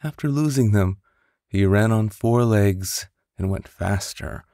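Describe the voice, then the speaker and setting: A man reads a bedtime storybook in a low voice with a gravelly edge. He takes it very slowly and plays up the drama as an expressive narrator. The recording is dry and close, made on a studio condenser mic. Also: dry recording. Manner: theatrical, with whispers and sound-effect voices. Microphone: close studio condenser microphone.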